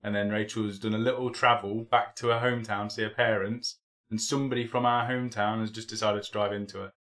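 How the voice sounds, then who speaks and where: A man's voice: lowish voice, flat delivery, fast talker, fairly bright tone. A young man hosting a live hobby stream in casual, rambling chat, still a bit tired from a cold. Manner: rambling, chatty, many ums and restarts.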